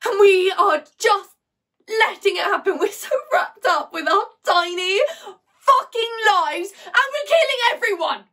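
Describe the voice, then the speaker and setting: A woman performing a dramatic stage monologue as an anxious, agitated young woman who rants in a halting, intense way. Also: very high-pitched, expressive, pushed and bright, far from the mic, pitched above the performer's own voice, full character voice. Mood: frantic, despairing. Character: anxious, ranting, educated young woman debating motherhood.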